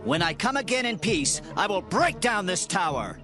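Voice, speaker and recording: An actor playing a stern, vengeful ancient Israelite war leader in a dramatized scripture reading, with solemn declamatory delivery. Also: male voice, high-pitched, big pitch swings, moderate pace, over music. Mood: threatening. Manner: declamatory, solemn archaic speech.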